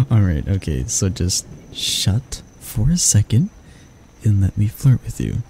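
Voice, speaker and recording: A gravelly, frying voice gives us a grumpy barista with a soft spot , played by a man. He is flustered and flirty, halting and teasing as in a romance audio roleplay. His tone is very dark and relaxed, with noticeable noise behind it. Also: fairly low pitch, exaggerated voice. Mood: bashful flirting. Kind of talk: romantic ASMR roleplay acting.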